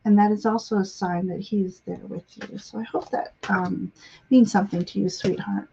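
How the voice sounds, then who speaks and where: A woman giving intuitive readings on a live stream, congested and halting, often clearing her throat. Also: medium pitch, steady tone, mellow, slight background noise. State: congested sinuses, voice slightly giving out. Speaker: guest psychic tarot reader. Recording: dull, lo-fi stream audio.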